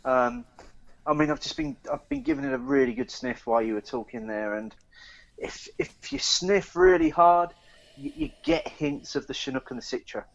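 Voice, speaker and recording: A man co-hosting a podcast in casual review chat, haltingly repeating words as he thinks aloud. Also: sits high, wildly swooping pitch, gravelly. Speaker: podcast co-host. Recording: dull, slightly lo-fi voice recording.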